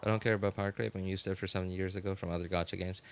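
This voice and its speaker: A man hosting a game livestream, chatting casually in a halting, rambling way and often repeating and rephrasing himself. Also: deep voice, level tone, a little hiss or hum. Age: adult, college graduate. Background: music.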